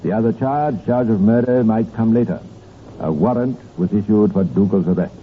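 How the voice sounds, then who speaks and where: A British man narrating a 1950s radio crime drama in a deliberate, dramatic, knowing way. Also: lowish voice, natural voice. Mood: measured, wry. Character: measured, knowing male crime-case narrator. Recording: tinny, noisy 1950s radio recording.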